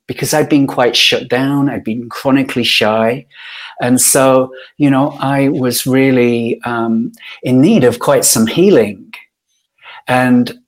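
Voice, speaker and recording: Guest: middle-aged man, even mid-pitched voice, unhurried and reflective, lots of ums. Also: low-quality recording. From Yorkshire, northern England. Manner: thoughtful and unhurried, with frequent ums and pauses. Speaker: podcast guest. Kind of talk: reflective personal storytelling.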